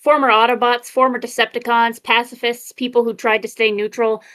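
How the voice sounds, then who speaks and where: A woman plays a cocky robot in an amateur comic dub. The voice sits fairly high. The delivery is informal and punchy, full of brash swagger. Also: level tone, fairly quick, a bit bright, natural voice. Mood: chatty, brisk.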